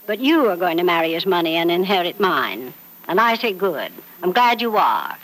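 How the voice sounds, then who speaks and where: A woman's voice: on the low side, very animated, normal speed, a bit bright, rough recording. An actress playing a frank, doting, wealthy elderly mother in an old radio soap, speaking with emphatic, theatrical affection. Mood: brisk, defiant. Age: older. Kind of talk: 1940s radio soap opera acting. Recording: old radio drama recording.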